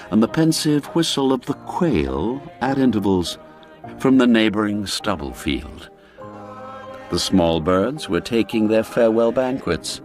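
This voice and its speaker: A man narrating a literary story as an audiobook, with a measured, expressive, old-fashioned storyteller's delivery. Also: fairly low pitch. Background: background music.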